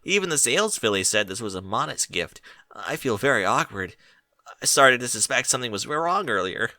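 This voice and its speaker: A man's voice: medium pitch, sing-song, brisk, bright, forceful tone, no echo, clean studio sound, heavily acted. A man voicing a shy, kindhearted young male pony in a storybook, sheepish and hesitant. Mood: confused, wavering.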